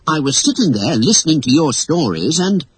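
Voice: medium pitch, very animated, moderate pace, breathy edge, dry recording, pitched above the performer's own voice, rough recording. A storybook narrator voicing a cocky, mischievous boy adventurer with a brash, bossy, confident manner. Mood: matter-of-fact. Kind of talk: acted storybook dialogue.

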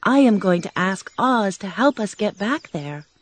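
A brave young girl with a high, bright voice, as a woman reader plays her. She sounds earnest and bold, her pitch lifted well above the reader's own. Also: animated, a little slow, husky.

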